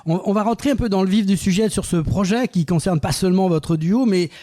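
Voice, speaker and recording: A man's voice: sits high, brisk, dark tone. A man hosting a radio sports talk show, asking guests questions and summarizing for listeners. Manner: friendly, leading questions, summarizing. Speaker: host. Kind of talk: radio interview.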